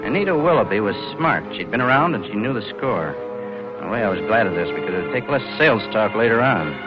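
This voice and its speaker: A scheming bank clerk in a 40s radio crime play, lowish voice, slangy and cold, muffled old recording. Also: male voice, big pitch swings, fast. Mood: cynical, confiding. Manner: cynical, slangy, confiding. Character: cold-blooded, scheming young male bank clerk narrating his crime. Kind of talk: 1940s radio noir acting. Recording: dull lo-fi 1940s radio, loud music.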